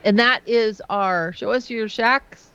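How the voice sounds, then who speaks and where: A woman co-host with a hoarse voice from a cold reads out listener details in a casual tone. Her voice is high, and the audio is a little dull. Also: takes it slowly, sharp tone. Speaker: co-host presenting listener photos. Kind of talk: casual chat.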